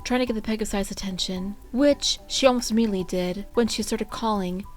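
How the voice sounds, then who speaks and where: A woman reading a fan fiction story aloud as an expressive narrator. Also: medium pitch, fairly quick, soft background music.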